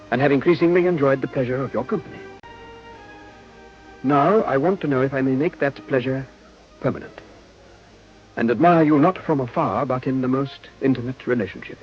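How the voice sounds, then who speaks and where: An actor playing an ardent, courteous English gentleman suitor with stiffly formal diction in a period radio drama. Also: medium pitch, animated, normal speed, pauses often, lo-fi sound, soft background music, natural voice. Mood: solemn, ardent.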